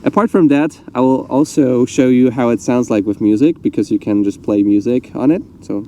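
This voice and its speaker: Male vlogger, casual hands-on review delivery, medium pitch, light outdoor urban noise. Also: normal speed, warm tone. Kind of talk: casual demonstration talk.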